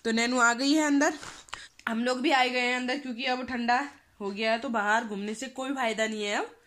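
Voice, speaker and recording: A woman's voice: a little high, steady tone, moderate pace, fairly bright tone, a little hiss or hum. A young woman from the northern Indian hills, chatting casually to her phone camera outdoors as a home vlogger. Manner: chatty, homely, addresses viewers as friends. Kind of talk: casual vlog talk to camera. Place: rooftop outdoors in hill town. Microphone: phone camera at arm's length.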